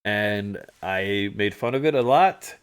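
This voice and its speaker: A man co-hosting a livestream, chatting casually through stories and photos from a trip, animated when he retells moments. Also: on the low side, slow pace, clean tone. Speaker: livestream co-host. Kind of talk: casual storytelling chat over travel photos.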